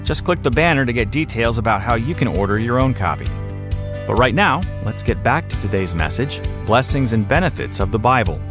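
A man's voice: medium pitch, expressive, fairly quick. A man with an American accent reading scripted promotional narration as a radio program announcer. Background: guitar music.

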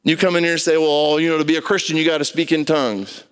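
High-pitched man preaching conversationally. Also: fairly even intonation, fast, clear, resonant tone. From Brazil. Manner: emphatic, colloquial, acts out short dialogues. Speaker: preacher, pastor. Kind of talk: animated conversational sermon.

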